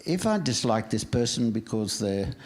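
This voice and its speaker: A man's voice: on the low side, sing-song, moderate pace, a little hiss or hum. A man in the audience asking a question in a wry, self-deprecating way. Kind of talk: question from the floor.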